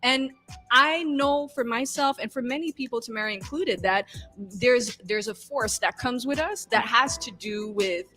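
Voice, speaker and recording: A woman raised in East Africa, speaking English, which is not her first language, in a casual podcast interview. Her voice sits a little high, and she tells her story with lively, emphatic repetition. A faint layer of music plays underneath. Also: unhurried. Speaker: community worker guest.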